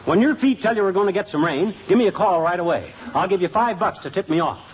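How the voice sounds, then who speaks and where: An actor playing a boastful, scheming husband in a 1950s radio sitcom, brash and excitable. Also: male voice, sits high, fast, slight background noise. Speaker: lead comic. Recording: dull 1950s radio broadcast, studio audience.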